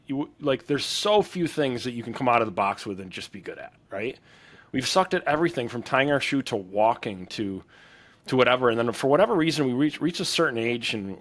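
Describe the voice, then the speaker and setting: A man hosting a podcast interview, affirming his guest and reflecting on the lessons in a thinking-aloud way. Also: medium pitch. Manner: affirming, reflective, talks in restarts while building a point. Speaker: podcast host.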